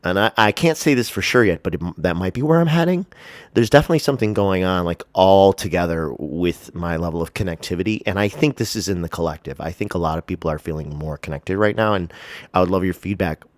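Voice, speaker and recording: Very deep, stuffy-nosed man vlogging. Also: fast, dry recording, studio quality. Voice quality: stuffy, congested nose. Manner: rambling, hesitant, self-deprecating. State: congested, sniffling. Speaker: vlog host. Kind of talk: casual personal chat to camera. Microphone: close to the face.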